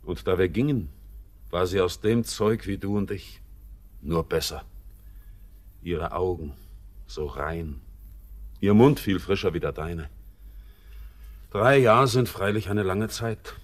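An actor playing a bitter, brooding young sailor back home, in a classic radio play. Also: male voice, sits low. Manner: slow, somber, bitter and brooding.

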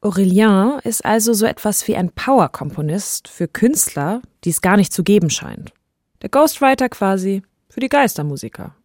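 A woman's voice: mid-range voice, animated, moderate pace, a little mellow, no echo, clean studio sound. A woman hosting and reporting in a conversational investigative podcast narration style. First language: German.

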